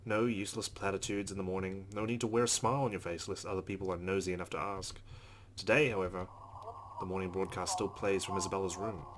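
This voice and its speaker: A man hosting a let's play, reading visual novel narration aloud with asides, over loud background noise. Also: deep voice, fairly even intonation, fast talker.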